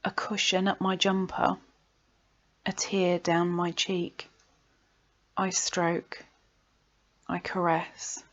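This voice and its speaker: A woman reads poetry at a slow, deliberate pace. Her delivery is dramatic and expressive, with breathy pauses that sink toward whispers. The sound is slightly dull and lo-fi, like a home recording. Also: lowish voice. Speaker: poet reading own poems.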